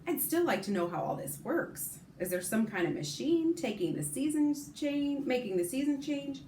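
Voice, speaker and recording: A woman's voice: high-pitched, very animated, moderate pace, darkish tone, echoey room, slight background noise, voice pushed up. A woman voicing an eager, curious young boy in a read-aloud storybook, asking earnest questions.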